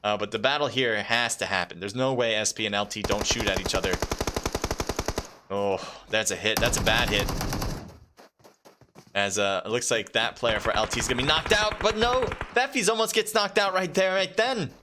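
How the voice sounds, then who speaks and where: Excitable male esports caster over gunfire. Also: moderate pace, very noisy. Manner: excitable, pitch leaps during fights, casual fillers. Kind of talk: live play-by-play commentary. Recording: game gunfire clearly underneath. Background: game gunfire and clicks.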